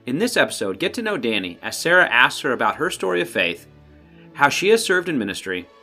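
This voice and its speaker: A man's voice: mid-range voice, sing-song, brisk, pushed and bright. A man announcing a podcast episode in a scripted, upbeat read. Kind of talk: scripted show intro. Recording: light music under the voice.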